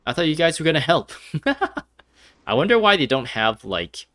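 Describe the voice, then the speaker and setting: A man's voice: a little high, sing-song, measured pace, pushed and bright, dry recording, studio quality. A man giving casual, rambling playthrough commentary as he streams a game, often chuckling as he talks. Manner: rambling, laughs often while talking. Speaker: game streamer playing through a story quest.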